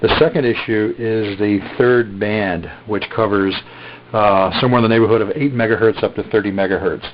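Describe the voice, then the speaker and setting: A man demonstrating a radio he is selling in a casual, halting way, filling pauses with lots of "uh". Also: on the low side, steady tone, background noise. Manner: halting, many "uh" fillers. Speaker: radio seller demonstrating a receiver. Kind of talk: casual product demo. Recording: dull lo-fi recording.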